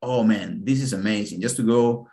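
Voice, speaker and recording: A man's voice: lowish voice, normal speed, warm tone. A man in his thirties from Peru, with a Peruvian Spanish accent, giving an earnest online presentation over a video call and often repeating words as he searches for them. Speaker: webinar presenter.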